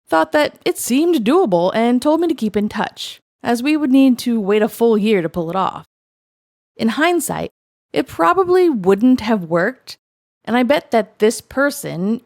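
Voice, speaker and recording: A woman reading a first-person story aloud in a conversational storytelling style, with dramatic pauses. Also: a little high, no echo, studio quality. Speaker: host reading stories aloud.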